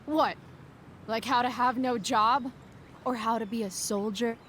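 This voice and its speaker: A woman's voice: on the high side, fairly even intonation, measured pace, a bit bright, a little hiss or hum. A woman playing a defensive, rebellious teenage girl with dry sarcasm in a video game cutscene. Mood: defiant, sarcastic.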